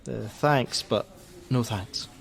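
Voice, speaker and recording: A man acting a gruff, jaded helpline worker. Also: medium pitch, slow. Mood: curt, defensive. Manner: blunt, sardonic, defensive. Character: jaded, gruff, hot-tempered male crisis-line worker and father. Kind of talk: naturalistic radio play acting.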